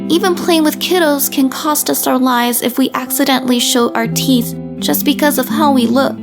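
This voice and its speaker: A woman's voice: high voice, normal speed, vocal fry, music in the background. A woman voicing a wary, protective rescue dog in a visual novel, with a blunt, world-weary manner. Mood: indignant. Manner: blunt, defensive. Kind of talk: character acting in a visual novel.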